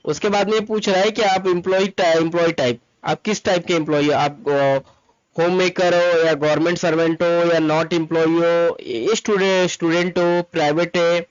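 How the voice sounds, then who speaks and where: Dull-sounding, higher-pitched man explaining steps. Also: fairly even intonation, moderate pace, smooth, no echo. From Mumbai, India. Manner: instructional, repeats steps, code-mixes English terms. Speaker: tutorial presenter, travel agent. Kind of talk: informal step-by-step screen tutorial. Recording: dull lo-fi close dry recording. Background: voice-over to screen recording.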